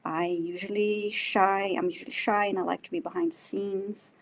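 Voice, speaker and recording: A woman from Brazil, a native Portuguese speaker with a Brazilian accent, chatting casually about herself in a halting, self-deprecating way. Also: mid-range voice, level tone, slight background noise, low-quality recording. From São Paulo, Brazil. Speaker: guest, animal communicator and energy healer. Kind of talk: casual personal storytelling in a live chat.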